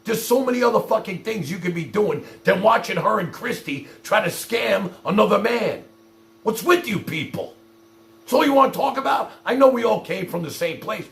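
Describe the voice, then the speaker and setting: Exasperated, gravelly, high-pitched man ranting. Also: animated, moderate pace. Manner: profane, exasperated, confrontational; addresses viewers directly with repeated rhetorical questions. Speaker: livestream host. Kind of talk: ranting livestream monologue to viewers.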